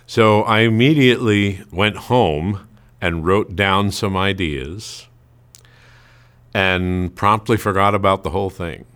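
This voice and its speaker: A man's voice: low voice, slow pace, fairly bright tone. A man being interviewed as a writer, telling anecdotes casually and acting out remarks he quotes. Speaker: amateur playwright being interviewed.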